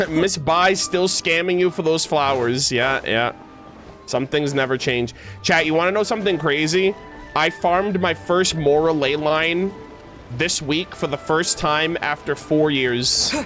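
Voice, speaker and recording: A man's voice: a little high, unhurried, faint music behind. A man livestreaming a game, chatting casually with viewers in a rambling, slangy way and often correcting himself. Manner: rambling, self-correcting, slangy, animated asides. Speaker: game streamer.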